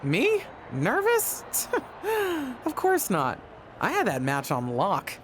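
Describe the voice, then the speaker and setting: A woman's voice: fairly low pitch, wildly swooping pitch, slow, exaggerated voice. A woman voicing a self-assured, boastful female champion wrestler in video game story dialogue, breezy and mock-modest. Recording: noisy background ambience.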